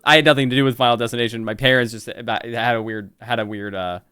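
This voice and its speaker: A man's voice: mid-range voice, fairly even intonation, fast, sharp tone, clear, resonant tone, no room sound, studio quality. A man bantering casually on a live stream in a teasing, joking way. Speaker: stream co-host.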